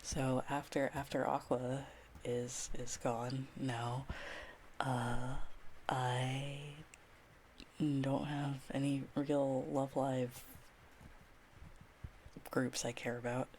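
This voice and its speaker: A woman speaks close to the mic in a soft ASMR voice that keeps slipping into whispers. She talks slowly, circles back over her words, and laughs quietly at herself. A faint hiss sits under the recording. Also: very deep voice, level tone. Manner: rambling and self-deprecating, with many restarts and soft laughs. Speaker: ASMR streamer. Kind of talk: soft-spoken ASMR chat, drifting into whispers.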